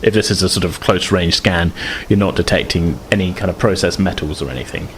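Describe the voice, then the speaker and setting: A man's voice: deep voice, fairly quick, a little hiss or hum. A man working as game master, improvising narration for a tabletop game in a hesitant, hedging way. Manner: hesitant, hedging descriptions with "sort of".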